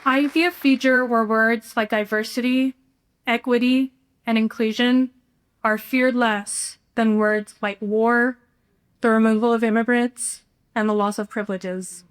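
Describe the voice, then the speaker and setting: A young American woman from Texas, a student speaker delivering a prepared speech in an earnest, deliberate way. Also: fairly high pitch, fairly even intonation, clean tone. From Houston, Texas, United States. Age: young adult.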